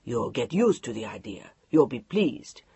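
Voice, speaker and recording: A woman voicing a controlling husband who speaks quietly and firmly. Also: big pitch swings, measured pace, husky, dry recording, full character voice. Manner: low, firm, unbending.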